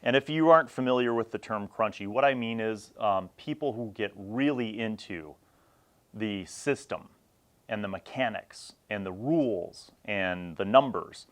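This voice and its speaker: A man in his forties hosting a casual, unscripted gaming review, talking reflectively and conversationally in short phrases with pauses. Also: fairly low pitch, fairly bright tone. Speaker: host of a vintage tabletop gaming review series.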